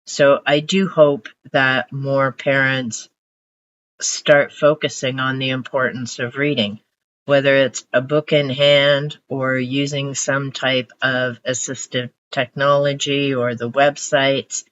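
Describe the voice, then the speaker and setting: Slow, reflective older woman, muffled audio. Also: very deep voice, flat delivery, a bit bright. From Canada. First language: Hungarian. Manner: reflective, unhurried storytelling. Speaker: book vlogger. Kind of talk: casual book talk to camera.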